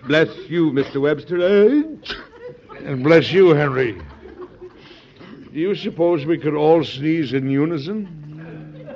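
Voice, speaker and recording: An actor in a 1940s radio drama playing a flustered, gruff but good-natured older president in a blustery, theatrical manner. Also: male voice, a little high, steady tone, slow, pauses often. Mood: genial. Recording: dull, noisy old radio recording.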